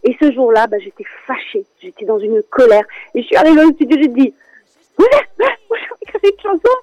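A French woman with a French accent, a children's singer chatting as a radio guest, lively and quick to laugh. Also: high voice, no room sound, highs cut off. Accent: French with Alsatian touch. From France. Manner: animated, laughs often, many fillers. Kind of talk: casual radio interview chat.

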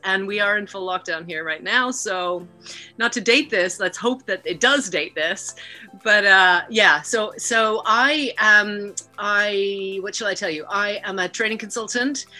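A middle-aged woman from Canada chats as a podcast guest over a video call. Her voice is bright and forward, and she talks in a lively, animated way with faint music behind her. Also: mid-range voice, a little slow. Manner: lively, laughing, animated storyteller. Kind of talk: casual interview chat.

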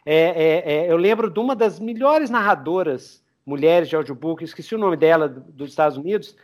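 A Brazilian man chatting casually as a podcast host, telling stories in an animated way. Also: on the high side, fairly quick. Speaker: podcast host, game master.

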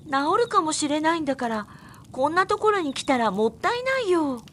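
An actress plays a girlish young woman in a radio drama, earnest and heartbroken. Her voice is extremely high and plaintive, over faint forest ambience. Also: sing-song, a little fast, fairly bright tone, a little hiss or hum. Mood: concerned. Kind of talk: audio drama acting.

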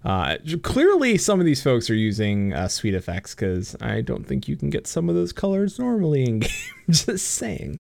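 A man's voice: medium pitch, wildly swooping pitch, moderate pace, creaky, a little mellow, no room sound. A young man co-hosting a casual gaming podcast over a voice call. He giggles a lot, swears freely and uses many fillers. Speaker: podcast co-host. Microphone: computer mic on voice call.

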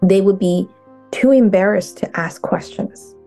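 A woman speaking as a guest coach in a casual interview, talking in halting bursts with many restarts. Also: mid-range voice, creaky, dark tone, faint music behind.

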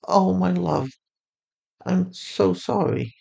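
A man acts out a tender mother of a teenage girl in an audio story. The voice sits well above a man's usual talk, soothing and loving, with a gentle sorrow. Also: sits high, takes it slowly, vocal fry, pitched above the performer's own voice, exaggerated voice. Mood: sorrowful.

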